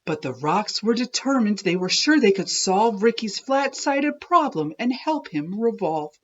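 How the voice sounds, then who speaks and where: A woman reading a rhyming children's story aloud in an expressive, deliberate, sing-song way. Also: mid-range voice, highs cut off. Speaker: children's book reader. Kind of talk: animated storybook read-aloud of a rhyming tale.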